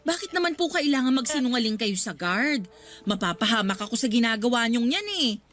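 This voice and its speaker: An uneasy, high-voiced Filipina goddaughter. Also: female voice, animated, a little fast, a bit bright. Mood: dismayed. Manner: respectful, pleading, defensive. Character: polite, uneasy working goddaughter, evasive and weary. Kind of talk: radio drama acting.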